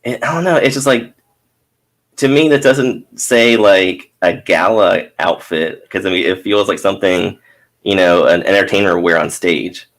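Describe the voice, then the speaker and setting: A man's voice: low voice, normal speed, a bit bright. A man co-hosting a casual chat, making wry, joking asides while critiquing art. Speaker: podcast co-host.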